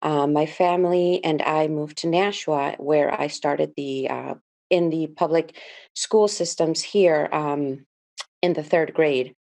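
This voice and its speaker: A woman in her thirties from the Dominican Republic gives her opening statement as a school board candidate. Her voice sits low, and she speaks earnestly with many small fillers. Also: fairly even intonation, slow, gravelly, darkish tone. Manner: earnest, with frequent "uh" and "um".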